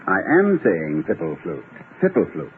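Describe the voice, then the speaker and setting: Scratchy old-radio eager, flustered college president. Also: male voice, low voice, wildly swooping pitch, normal speed. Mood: earnest, eager. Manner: hesitant, stammering, courteous, slightly pompous. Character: eager, slightly flustered, genteel middle-aged college president. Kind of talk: old-time radio situation comedy acting. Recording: scratchy, narrow-band old radio broadcast.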